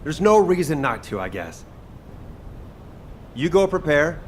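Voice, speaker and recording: Easygoing, lively young game chef. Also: male voice, a little high, a little slow, a little hiss or hum. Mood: casual, friendly. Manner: teasing, casual. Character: easygoing, joking young male chef and soldier. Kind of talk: video game voice acting.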